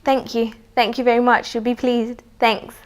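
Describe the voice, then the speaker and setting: A woman's voice: fairly high pitch, level tone, moderate pace, lightly acted. A woman playing a worried, earnest adult daughter in a training film, with a polite, tentative way of speaking. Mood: tentative, upbeat. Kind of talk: acted training film scene.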